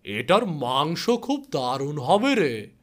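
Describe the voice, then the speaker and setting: A man voicing a domineering, menacing village landlord in a story, with imperious, drawn-out delivery. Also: fairly high pitch, sing-song, voice pushed up, full character voice. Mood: greedy, coaxing.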